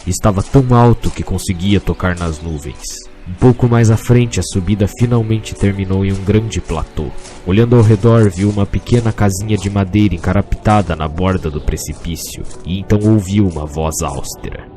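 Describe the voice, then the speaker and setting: A man narrates a scripted story in a deep voice. His delivery is solemn and literary, like a storybook being read aloud, with soft music underneath. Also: normal speed, hardly any pauses.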